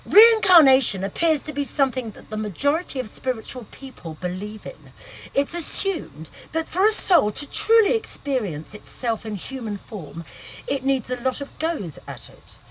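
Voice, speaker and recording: Brash high-voiced woman playing an irritable narrator. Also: very animated, normal speed, poor audio. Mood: musing. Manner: sardonic, bossy, blunt. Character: brash, irritable sweary woman musing on past lives. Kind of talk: comedic book reading.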